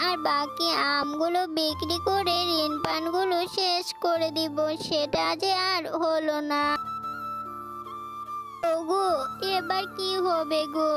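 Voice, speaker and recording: A woman's voice: very high-pitched, full character voice. A woman voicing a poor, sorrowful animal mother in a children's cartoon, in a plaintive manner. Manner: lamenting, plaintive. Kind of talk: children's cartoon story voice acting.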